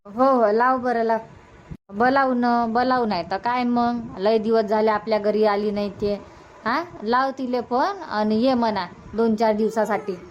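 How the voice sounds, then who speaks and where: A woman's voice: fairly high pitch, fairly even intonation, measured pace, highs cut off, slight background noise, lightly acted. A woman voicing a homely, hospitable middle-aged village mother in a cartoon comedy, coaxing in colloquial Varhadi Marathi. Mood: urging.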